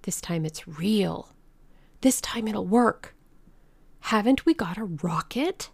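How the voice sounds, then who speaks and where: A woman voicing a fierce, suspicious, frail little old lady in a storybook reading, with a forceful, demanding manner. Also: fairly low pitch, sing-song, unhurried, dry recording. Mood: hopeful whisper.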